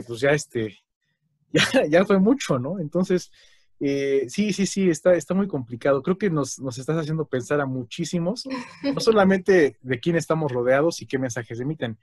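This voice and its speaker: A man's voice: medium pitch, no room sound. A man with a Mexican Spanish accent hosting a casual conversation, chatty, with frequent fillers and tag questions. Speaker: host.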